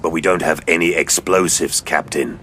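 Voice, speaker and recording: A man playing a gruff, stern veteran space marine sergeant in an audio drama, speaking in a low gravelly voice with terse, grave soldierly delivery. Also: steady tone, moderate pace, very noisy. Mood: terse, dutiful. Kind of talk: audio drama character acting.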